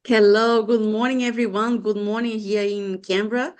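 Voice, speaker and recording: Brazilian-accented woman, mid-pitch, a lecturer introducing herself on a video call, hesitant and searching for words. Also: steady tone, normal speed, smooth. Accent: Brazilian Portuguese. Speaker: lecturer and former peacekeeper.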